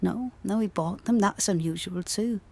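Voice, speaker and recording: An actress playing a friendly, geeky costume seamstress who talks in a chatty, informal, slightly hesitant way in an audio drama. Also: female voice, mid-range voice, moderate pace, a little mellow, close to an everyday voice. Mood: hesitant, helpful. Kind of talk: naturalistic audio drama acting.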